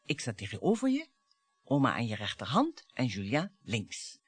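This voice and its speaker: Bitter, taunting wife, dramatically expressive. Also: female voice, rumbling low, normal speed, breathy edge, exaggerated voice. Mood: brooding. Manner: theatrical, provocative, dwelling on words with dramatic repetition. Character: bitter, taunting wife dredging up old family grievances. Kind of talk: radio play acting.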